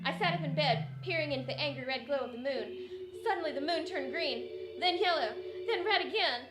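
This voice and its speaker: A woman's voice: high-pitched, fairly quick, close to an everyday voice. A woman playing a melodramatic, frightened 1940s heroine in an old-time radio drama parody. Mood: breathlessly dramatic. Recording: roomy, with loud music underneath. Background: loud music.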